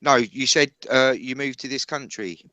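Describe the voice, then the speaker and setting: A chatty man on a casual video call who is quick with questions. His voice comes across forceful and bright. The sound is close and dry, with no room around it. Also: medium pitch, no room sound. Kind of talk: casual group chat.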